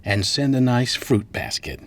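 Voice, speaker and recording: A man's voice: medium pitch, sing-song, unhurried. An actor playing a curt, impatient, domineering businessman father in a radio drama, commanding and sardonic. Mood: commanding, calculating.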